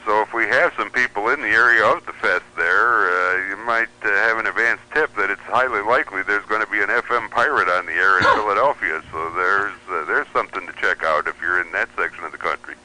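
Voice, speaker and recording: A man's voice: lowish voice, brisk, hardly any pauses, bright, forceful tone, poor audio. A man, a radio hobbyist and columnist, chatting casually as a guest and telling rambling stories. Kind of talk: casual anecdotal chat.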